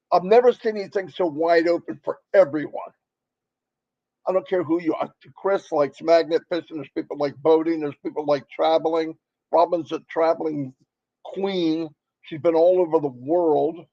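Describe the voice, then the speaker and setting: Crackly-voiced man giving a folksy sales pitch. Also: gravelly, no echo, muffled recording. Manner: rambling, folksy, repeats points for emphasis. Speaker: live stream presenter.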